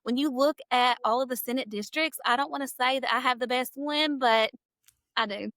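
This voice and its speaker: An energetic woman, her voice sitting a little high. She talks fast and freely, as a candidate would in an interview. The sound is close and dry. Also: no room sound. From southeastern Kentucky. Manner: energetic, talkative, laughs easily. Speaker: political candidate. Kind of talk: podcast interview.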